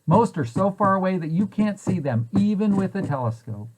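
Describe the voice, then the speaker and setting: A man's voice: high voice, very animated, moderate pace, darkish tone, slight background noise, rough recording. A man reading a children's picture book aloud in an explanatory way, posing questions and then answering them. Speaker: preschool site director reading aloud to children.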